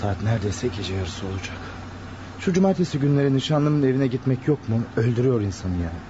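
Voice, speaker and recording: A man's voice: mid-range voice, sing-song, fast, dull audio, lightly acted. Man playing a meek, stammering fiancé, noisy recording. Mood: weary, grumbling. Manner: hesitant, stammering. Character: meek, hesitant young fiancé, secretly fed up. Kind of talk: radio drama acting. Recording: radio play with clear background noise.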